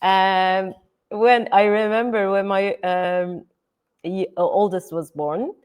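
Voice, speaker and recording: A woman's voice: mid-range voice, steady tone, slow, a little mellow, clear, resonant tone. A middle-aged woman from Turkey, a native Turkish speaker, speaking as a guest in a podcast interview, thoughtful, with many fillers. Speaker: guest educator.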